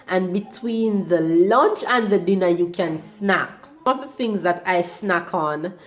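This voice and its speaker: A young woman, hoarse from a cold, chatting casually in a home vlog about her diet, with many ums. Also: lowish voice. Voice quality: slightly rusty voice. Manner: chatty, unhurried, frequent ums. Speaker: vlogger.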